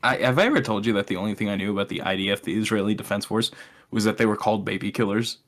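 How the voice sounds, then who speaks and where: A man's voice: lowish voice, very fast, fairly bright tone. A young man chatting casually as a podcast co-host, explaining his opinions in a sardonic way and swearing freely.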